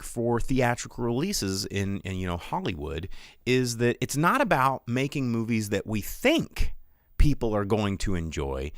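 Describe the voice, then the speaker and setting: A man talks off the cuff like the host of a live show, opinionated and slangy. His pitch moves around a lot as he slips into imagined quotes. The sound is dry and close, with casual, conversational phrasing. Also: dry recording.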